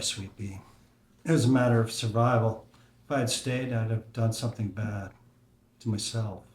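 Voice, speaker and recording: An actor playing a wistful, adventurous older father who speaks softly and reflectively in a table read. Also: lowish voice, close to an everyday voice. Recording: roomy echo.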